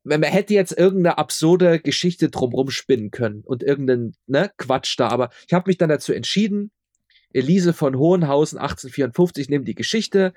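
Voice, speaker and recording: A man's voice: on the high side, animated, brisk, darkish tone, dry recording, clean studio sound. A man chatting casually as an interview guest, stammering over repeated words and tossing in ironic asides. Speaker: interview guest, audio drama producer.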